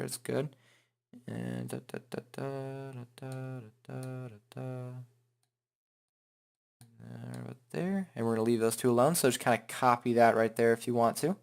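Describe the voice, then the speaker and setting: Casting: male tutorial host, lowish pitch, loose and chatty, unscripted step-by-step delivery. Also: creaky, smooth. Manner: informal, rambling, hums filler syllables while working. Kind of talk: casual improvised software tutorial.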